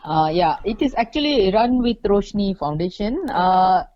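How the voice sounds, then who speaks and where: A woman's voice: mid-range voice, animated, a little slow, dull audio. A middle-aged woman from the Darjeeling hills, a Nepali native speaker, answering interview questions in a hesitant way with many fillers. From India, Darjeeling and Kalimpong hills. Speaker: guest, runner and lawyer.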